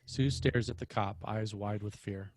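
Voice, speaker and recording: A man with a fairly low voice narrates a screenplay. He reads the stage action in short, measured, dramatic phrases. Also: steady tone, darkish tone. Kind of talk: scripted screenplay narration, present tense.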